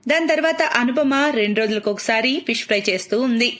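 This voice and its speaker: A woman's voice: fairly high pitch, fast talker, fairly bright tone. A woman reading scripted narration for a cartoon moral story.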